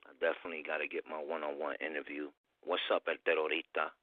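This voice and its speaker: Deep voiced dude hosting an interview, chill street slang, muffled dry audio. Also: male voice, little pitch movement, fast, no room sound. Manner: slangy, laid-back street talk. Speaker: interviewer, channel host. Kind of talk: casual interview chat.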